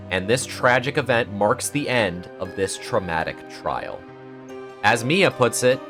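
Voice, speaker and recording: A man with a mid-pitched, animated voice narrates a video essay. His delivery is earnest and scripted with dramatic emphasis, over faint guitar music.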